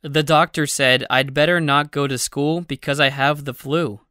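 A narrator reading numbered phrases for a language-learning audiobook, slowly and evenly for learners. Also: mid-range voice, fairly even intonation, bright, forceful tone, clean tone, no room sound, studio quality. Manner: slow, evenly spaced delivery of numbered phrases.

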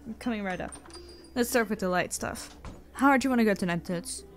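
A woman's voice: medium pitch, a little fast, faint music behind, natural voice. A woman voicing an elven tavern keeper in an ASMR roleplay, familiar and teasing with the listener, protective and tough. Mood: affectionate, chatty. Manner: familiar, teasing, uses pet names.

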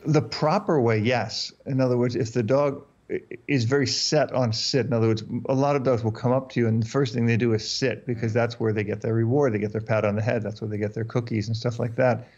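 A man's voice: mid-range voice, steady tone, fairly quick, hardly any pauses, darkish tone, highs cut off. A man in his fifties, a native German speaker, explaining dog training on a video call, often restarting and repeating words. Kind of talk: interview guest on a video call. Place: dark room indoors. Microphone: headphones and desk mic on a video call.

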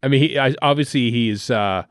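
Canadian guy chatting as podcast host, mid pitch, really dry close sound. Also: normal speed, fairly bright tone, no room sound. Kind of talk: casual fan commentary chat.

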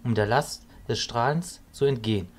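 A man playing a calm, consoling mage mentor in a fantasy audio drama, speaking in a measured, philosophical way. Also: medium pitch, close to an everyday voice.

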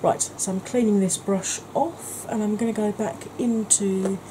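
A woman in her forties chats her way through a makeup look, step by step, sometimes going soft and breathy. Her voice sits at an ordinary middle pitch. She's recorded close to the camera at home, with a clear hiss running underneath. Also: fairly even intonation, a little slow. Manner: chatty, talks through each step, drops to soft near-whispers. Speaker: makeup vlogger. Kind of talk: chatty makeup tutorial narration. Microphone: camera close to the face.

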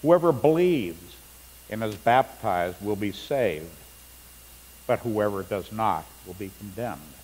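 A man's voice: on the low side, expressive, slow, darkish tone. A middle-aged male pastor preaching a sermon in a church sanctuary, reading scripture aloud with rising emphasis. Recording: long church reverb, faint hum.

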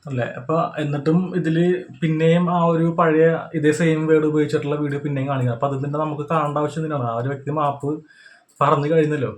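A man giving unscripted opinion commentary in a repetitive, explanatory way, often checking in with the listener. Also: medium pitch. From India. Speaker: YouTube reaction commentator.

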